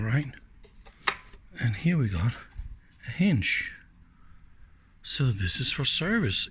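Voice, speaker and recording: Male teardown host, mid-low voice, leisurely pace, a little rough-edged, casual and enthusiastic running commentary. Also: noisy background. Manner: informal, enthusiastic, exclaiming and thinking aloud. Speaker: host of electronics teardown videos.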